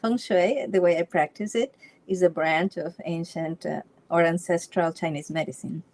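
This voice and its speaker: Ecuadorian woman chatting in an interview, restarts a lot, laughs softly between thoughts. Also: on the low side, fairly even intonation, moderate pace, slight background noise. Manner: storytelling with frequent restarts and light laughs. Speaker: Feng Shui consultant, interview guest. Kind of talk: casual interview chat.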